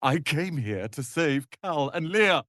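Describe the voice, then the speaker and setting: A man's voice: a little high, expressive, slow pace, a bit bright, no room sound, clean studio sound. A man playing a proud, prickly young apprentice wizard in a video game, speaking in a haughty, clipped and articulate way. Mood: urgent, resolute. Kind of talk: dramatic video game voice acting.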